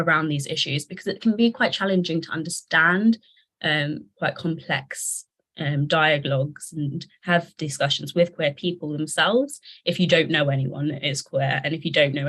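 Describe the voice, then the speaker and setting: A party liberation officer answering questions in a livestreamed interview, measured and hedging as they talk over a video call. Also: medium pitch, fairly bright tone, poor audio.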